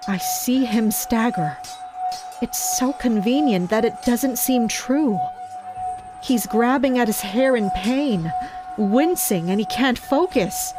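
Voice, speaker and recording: A woman playing a tense, commanding castle fighter in a first-person dramatic narration. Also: on the high side. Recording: music behind the voice. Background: music.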